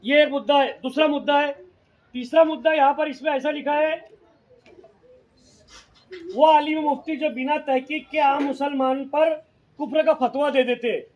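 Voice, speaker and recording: Very high-pitched man moderating a meeting. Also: steady tone, normal speed, pauses often, darkish tone. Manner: explanatory, checks with audience. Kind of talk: impromptu public address.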